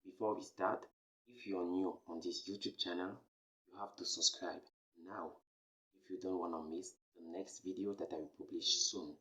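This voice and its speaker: A man from Cameroon hosting a beginner French lesson, repeating key phrases slowly and emphatically. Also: low-pitched, fairly even intonation, pushed and bright, poor audio. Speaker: French teacher, channel host. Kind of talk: slow, repetitive beginner language lesson.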